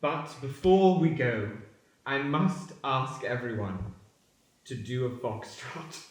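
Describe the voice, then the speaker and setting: A woman's voice: rumbling low, animated, slow pace, exaggerated voice. Woman as a polite handyman, very echoey. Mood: courteous. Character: courteous, curious handyman friend of the family. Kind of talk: improvised radio play acting. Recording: very echoey room.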